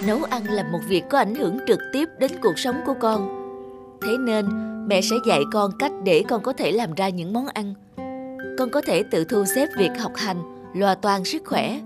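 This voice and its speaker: A woman voicing a loving mother giving calm, gentle advice to her child in an animated dub, with music underneath. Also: mid-range voice, natural voice. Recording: studio voice over music.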